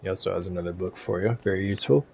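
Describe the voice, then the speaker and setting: A man with a very low, fry-heavy voice talks through a game guide. He is casual and conversational, with faint game ambience behind him. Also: fairly even intonation, very fast, darkish tone, a little hiss or hum. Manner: laid-back, conversational. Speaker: gaming guide streamer. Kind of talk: casual video game walkthrough commentary.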